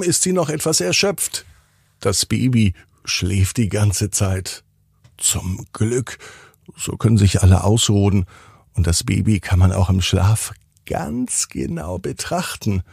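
A man's voice: fairly low pitch, vocal fry, a little mellow, slightly rough, dry recording, studio quality. A man narrating a bedtime story for children as the host and storyteller.

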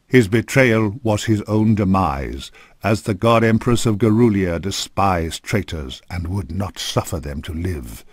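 Male narrator for fantasy game cutscenes; lowish register, grave formal storytelling, dry studio sound. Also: lively intonation, unhurried, few pauses, no room sound. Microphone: close studio microphone.

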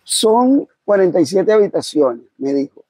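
A male interviewer with a high, mellow voice asks probing questions and drops in short acknowledgments. His voice is a little husky and sounds dry and close. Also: sing-song, measured pace, no echo. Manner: probing, with frequent short backchannels. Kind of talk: conversational interview.

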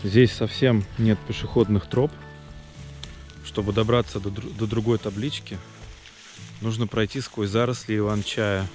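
A man's voice: lowish voice, fairly even intonation, unhurried, a little mellow, soft background music. A man in his thirties narrating a travel vlog on location, speaking into a handheld camera. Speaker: travel vlogger.

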